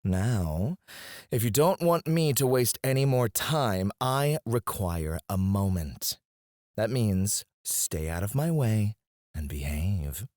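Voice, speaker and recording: A man's voice: on the low side, sing-song, slow, a little mellow, no echo. An actor playing a haughty, sarcastic, prickly and flirtatious male court alchemist in an ASMR fantasy roleplay, with a sardonic drawl. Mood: annoyed, disdainful. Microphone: close studio mic, dry.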